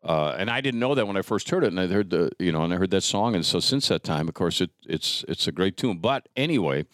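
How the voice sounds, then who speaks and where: A man's voice: sits low, lively intonation, quick pace, fairly bright tone, no room sound, studio quality. A middle-aged American man hosting a casual chat, reminiscing in a rambling, conversational way. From rural United States. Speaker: podcast host.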